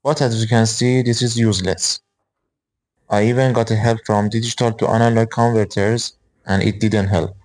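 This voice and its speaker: A man's voice: lowish voice, flat delivery, normal speed, darkish tone. A man in his twenties hosting a hobby electronics video, explaining his project step by step. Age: 20s.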